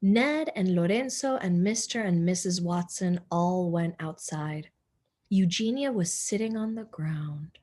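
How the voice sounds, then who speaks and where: A woman teacher reading a children's storybook aloud in an expressive narrating style. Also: fairly low pitch, measured pace.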